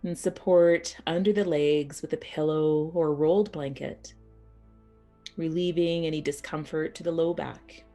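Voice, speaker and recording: A woman teaching yoga nidra as a guided meditation, speaking soothingly and unhurriedly in short phrases with pauses. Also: deep voice, steady tone, warm tone, soft background music.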